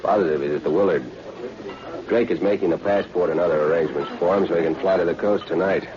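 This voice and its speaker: Male actor as bitter schemer, deep and curt, 1940s spy radio play, dull old recording. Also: quick pace, crowd noise, lightly acted. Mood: scheming, resentful. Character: bitter, scheming male newsman turned traitor. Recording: muffled vintage radio recording with hiss.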